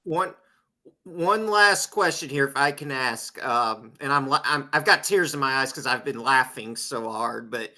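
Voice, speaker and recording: A man's voice: mid-range voice, measured pace, sharp tone. A man co-hosting a podcast, asking questions in a casual, conversational way. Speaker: podcast co-host.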